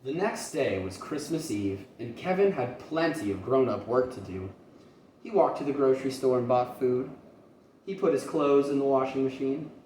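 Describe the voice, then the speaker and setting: A man reads a children's storybook aloud as a kindergarten teacher would, with expression. His voice sits at an ordinary pitch, a little away from the microphone in an echoey room with faint noise. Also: normal speed, a little mellow. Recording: roomy, distant mic, faint noise.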